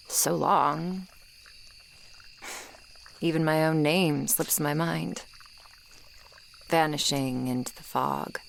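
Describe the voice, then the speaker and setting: Swamp monster girl, played by a woman for ASMR roleplay: low-voiced, unhurried, guarded and gruff over hidden loneliness. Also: a little hiss or hum, heavily acted. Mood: reluctant, softening. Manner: grudging, guarded, halting, close and intimate delivery. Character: gruff tsundere female swamp monster, once human, lonely. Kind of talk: ASMR roleplay, acted monologue to the listener. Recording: swamp ambience of crickets and frogs. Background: insects and frogs, swamp night ambience.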